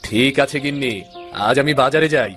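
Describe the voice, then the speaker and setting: A man's voice: medium pitch, fairly quick, a bit bright, soft background music, close to an everyday voice. A man playing a simple-minded village husband in a cartoon folktale, childlike, earnest and whiny. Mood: stubborn, earnest. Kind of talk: fairy-tale cartoon acting.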